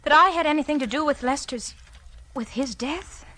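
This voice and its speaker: An actress playing a fragile new widow in a 1940s radio mystery, with hesitant, halting delivery. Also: female voice, high-pitched, bright, forceful tone. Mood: shocked. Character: shocked, fragile newly widowed wife. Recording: vintage 1940s radio recording.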